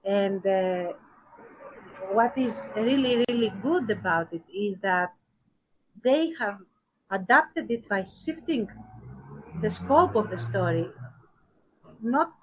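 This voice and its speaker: A woman co-hosting a casual podcast discussion, talking thoughtfully with pauses and emphasis on key words. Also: mid-range voice, expressive, a little hiss or hum, poor audio. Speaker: podcast co-host.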